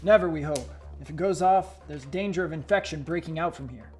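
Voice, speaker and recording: A man's voice: on the high side, a little fast, a little mellow, soft background music, natural voice. A man acting in an amateur radio play as an earnest, anxious member of a lab team. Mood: earnest worry.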